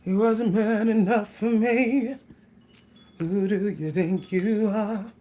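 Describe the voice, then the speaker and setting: A woman's voice: medium pitch, takes it slowly, mellow, soft background music. A woman hosting a casual livestream, chatting with viewers, laughing often and breaking into song. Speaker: livestream host. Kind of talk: casual livestream chat with singing.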